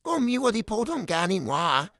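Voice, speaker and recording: Woman playing a pompous, husky, theatrical boss. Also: medium pitch, sing-song, quick pace, a bit bright, no echo, studio quality. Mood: demanding. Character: dramatic, demanding boss who talks in abstract riddles. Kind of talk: comedic radio drama acting.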